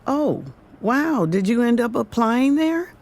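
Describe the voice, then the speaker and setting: A woman's voice: fairly high pitch, lively intonation, slow, gravelly, darkish tone, full character voice. An actress playing a wise, patient older grandmother in an audio drama. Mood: gently defensive.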